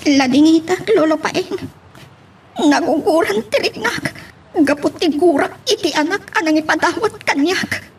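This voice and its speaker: A woman's voice: very high voice, measured pace, slight background noise. A woman playing a distressed, resentful young female student in a radio drama, emotional and plaintive, with a Filipino accent. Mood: hurt, pleading.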